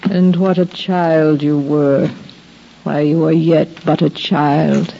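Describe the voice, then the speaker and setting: An actress playing tender, reverent biblical women with earnest, formal speech in a 1950s radio drama. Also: female voice, low voice, fairly even intonation, slow pace, vocal fry, warm tone, exaggerated voice. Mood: warm greeting. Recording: dull old radio recording, faint noise.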